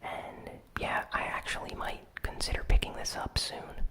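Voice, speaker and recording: A host whispering a weekly gaming news recap in ASMR style, soft and unhurried with frequent "um" fillers. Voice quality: fully whispered.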